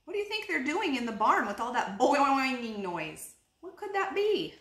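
A woman in her thirties reading picture books aloud to young children, expressively, with drawn-out words and little asides to her listeners. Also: high voice, sing-song, fairly bright tone. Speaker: children's story reader and teacher. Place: small living room, indoors on a couch. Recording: roomy echo.

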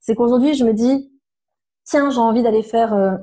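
Hoarse, mellow-voiced woman chatting frankly. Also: a little high, moderate pace. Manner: frank, animated, many fillers. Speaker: interview guest, nutrition therapist. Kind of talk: casual conversational interview.

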